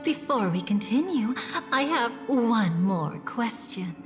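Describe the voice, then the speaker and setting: A woman voicing a poised, gentle and coy guide in a video game, speaking in a measured, intimate, enigmatic way. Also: fairly high pitch, animated. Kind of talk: dramatic video game voice acting. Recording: long reverb, faint music behind. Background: soft background music.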